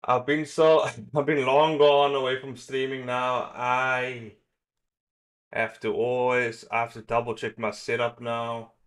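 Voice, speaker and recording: Unhurried man chatting casually on a livestream. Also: mid-range voice, level tone, a bit bright. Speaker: livestream host. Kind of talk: casual livestream chat while setting up.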